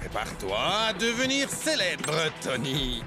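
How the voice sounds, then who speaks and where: A man's voice: on the high side, wildly swooping pitch, unhurried. A man playing a scheming, gloating villain in a cartoon, with music behind him. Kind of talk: cartoon voice acting.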